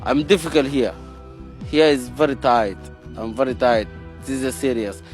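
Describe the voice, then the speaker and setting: Man begging in broken english, not his first language, mid pitch, music behind. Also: fairly even intonation, moderate pace. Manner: broken English, pleading, repetitive. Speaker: refugee interviewee. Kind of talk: street interview.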